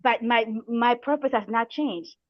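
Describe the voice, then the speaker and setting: Woman, middle-aged motivational guest speaker, encouraging, repeats key phrases, higher register, close and dry lo-fi audio. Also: measured pace, dry recording. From Republic of the Congo. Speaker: guest speaker, coach and counselor. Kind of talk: motivational advice in an interview.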